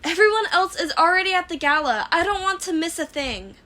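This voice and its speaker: A woman's voice: extremely high pitch, lively intonation, a little fast, bright, forceful tone, voice pushed up, heavily acted. A woman voicing an earnest, eager, easily exasperated teenage boy in a fan fiction audiobook. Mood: impatient yelling.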